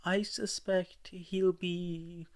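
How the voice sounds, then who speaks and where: A man's voice: high voice, flat delivery, very slow, pitched above the performer's own voice, heavily acted. A man voicing a stern, motherly middle-aged woman who is politely menacing. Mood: sweetly menacing.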